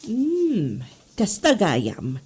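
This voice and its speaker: A woman playing an easily charmed schoolteacher in a comedy audio drama, coy and expressive, with a Northern Philippine accent. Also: mid-range voice, extremely slow, slightly rough, full character voice. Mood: businesslike. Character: female schoolteacher, stern reputation but easily charmed.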